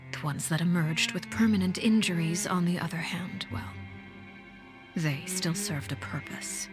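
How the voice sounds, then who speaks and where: A low-voiced, menacing woman leader from a video game, played by an actress. She speaks formally and with measured command, often dropping into a breathy hush. Her temper is cold and composed, and soft music plays behind her. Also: natural voice. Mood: grave, detached. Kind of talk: scripted video game voice acting.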